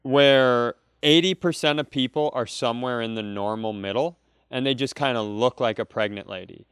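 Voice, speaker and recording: A man with a clear, smooth voice of middling pitch co-hosts a comedy podcast. He tells stories in a casual, rambling, profane and joking way. The sound is close and dry. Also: moderate pace, fairly bright tone, no echo. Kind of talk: casual comedic storytelling chat.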